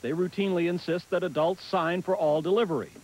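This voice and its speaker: Higher-pitched American male news correspondent. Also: steady tone, fast talker, a little mellow, poor audio. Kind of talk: scripted news report narration.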